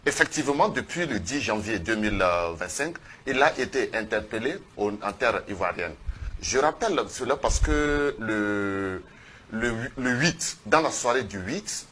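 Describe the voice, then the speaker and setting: Bright-voiced, emphatic man, noisy backdrop. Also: lowish voice, expressive. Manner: emphatic, halting, repeats phrases. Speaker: activist guest on a TV panel. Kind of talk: impassioned televised testimony. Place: television studio.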